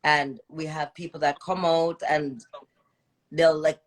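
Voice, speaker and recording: A woman's voice: sits low, level tone, takes it slowly. Woman hosting a casual music interview. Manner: repeats phrases, interjects encouragement. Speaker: radio show host interviewing a musician.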